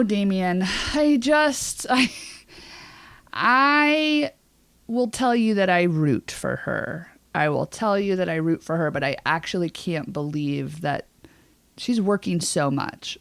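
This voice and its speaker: A woman with a fairly low voice chats casually as a podcast co-host. She is emphatic and gushing, with slangy phrasing. The sound is dry, with little room in it. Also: expressive, a little slow, no room sound.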